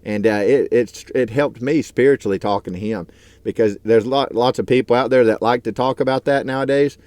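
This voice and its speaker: A man's voice: medium pitch, fairly even intonation, a little fast, a little mellow, dry recording. A man in his thirties talking casually to his vlog from his truck, earnest and rambling with lots of fillers. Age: 30s. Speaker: truck driver and YouTuber.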